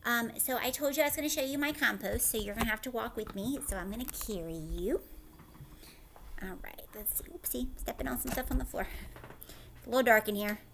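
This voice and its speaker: Higher-pitched woman teacher reading to children. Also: brisk, vocal fry, background noise. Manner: simple child-directed talk with frequent check-in questions. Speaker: teacher reading a picture book aloud to children. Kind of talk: children's read-aloud with chatty explanatory asides.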